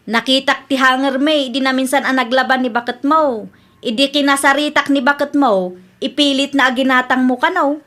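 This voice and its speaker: A woman plays a fed-up housewife in an Ilocano comedy radio drama. Her voice is high and sharp, with an Ilocano accent and an accusing, exclamatory delivery. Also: moderate pace. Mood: indignant. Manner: complaining, exclamatory. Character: fed-up, accusing wife robbed by a neighbour.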